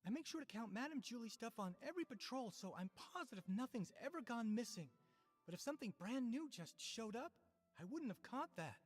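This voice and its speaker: A woman's voice: on the high side, expressive, brisk, big-room sound, faint music behind. A woman voicing a dutiful, eager estate guard in a video game. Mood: defensive, earnest.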